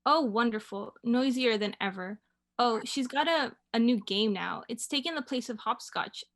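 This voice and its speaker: A young woman playing a patient, indulgent mother in a radio play, gently chiding and mildly amused. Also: a little high, moderate pace. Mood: friendly, chatty.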